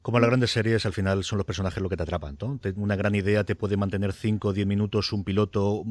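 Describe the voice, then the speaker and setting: A man speaks as a podcast panelist. He is very low-pitched and quick, giving opinions in a conversational way. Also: darkish tone, no room sound.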